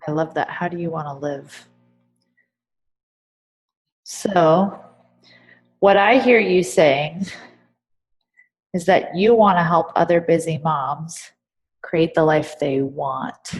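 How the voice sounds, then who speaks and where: A woman with a somewhat low voice coaches a guest on her podcast. She asks probing questions and keeps her tone encouraging. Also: slow pace, long pauses, soft background music, poor audio. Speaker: podcast host and business coach. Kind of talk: coaching conversation.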